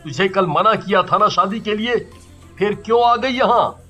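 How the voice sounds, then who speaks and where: Man acting a high, bright-voiced devoted husband. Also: normal speed, faint music behind. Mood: indignant. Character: Ram, devoted, indignant young husband. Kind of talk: cartoon family drama.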